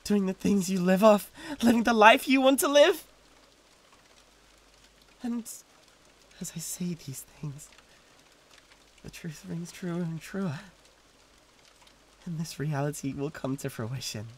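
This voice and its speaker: A man playing a possessive, flustered demon with a British accent and a breathy voice, halting and repeating himself in a flirtatious audio roleplay. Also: high voice, a little hiss or hum. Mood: jealous, bitter. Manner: halting, repeating words with wounded pride. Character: jealous, possessive male demon, flustered and pleading.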